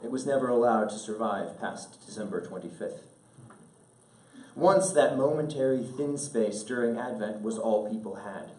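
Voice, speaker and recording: A man reads a literary letter aloud in a measured, earnest, oratorical way, pausing deliberately, recorded at a distance in an echoing room. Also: fairly low pitch, darkish tone. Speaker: speaker reading a letter aloud at an event. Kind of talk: solemn literary letter read aloud to an audience. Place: indoor event room.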